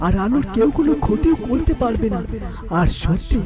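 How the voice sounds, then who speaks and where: A woman playing a calm, reassuring magic orb seer in a fairy-tale cartoon, speaking earnestly in measured short phrases. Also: a little high, close to an everyday voice. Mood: earnest reassurance. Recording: music under the voice.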